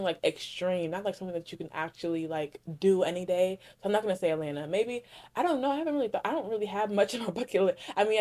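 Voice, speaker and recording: A woman's voice: medium pitch, dark tone. A young woman from Connecticut hosting her own podcast, chatting casually through a solo Q&A and correcting herself as she rambles along. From Connecticut, USA. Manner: rambling, self-correcting, heavy use of "like". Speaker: podcast host.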